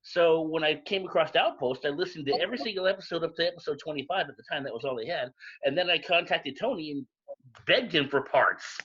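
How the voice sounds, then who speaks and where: Man, raspy and fairly high, casual storytelling, dull stream sound. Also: quick pace, a bit bright. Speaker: volunteer voice actor. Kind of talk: casual panel chat on a live stream. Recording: dull, lo-fi online stream audio.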